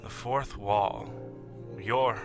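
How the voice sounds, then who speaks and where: An actor playing a genial, theatrical old Yankee gentleman with a courtly, avuncular manner in an audio drama. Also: lowish voice, steady tone, drawn-out words, over music. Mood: amused, curious. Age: older.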